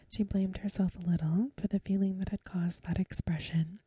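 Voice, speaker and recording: A woman reads a story in a soft ASMR whisper, extremely breathy and very dark in tone. Her delivery is gentle and measured, close to the mic in a dry space. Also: medium pitch, no echo. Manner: gentle, hushed, measured reading. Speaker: ASMR host and reader. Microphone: close microphone.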